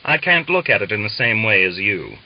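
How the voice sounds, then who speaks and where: A man's voice: fairly low pitch, very animated, fairly quick. On a muffled lo-fi audiobook recording, a blunt Cossack veteran and family man speaks in anger, voiced by a male reader. His manner is practical and scornful. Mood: quiet anger. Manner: quietly angry, blunt, scornful. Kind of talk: dramatised audiobook dialogue.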